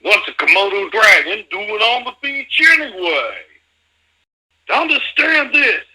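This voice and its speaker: A man's voice: high voice, big pitch swings, unhurried, pushed and bright, full character voice, rough recording. A man in an amateur fan dub playing an older, blustering race promoter with an emphatic delivery. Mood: irritated, bossy. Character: older male race promoter, blustering boss who frets in private.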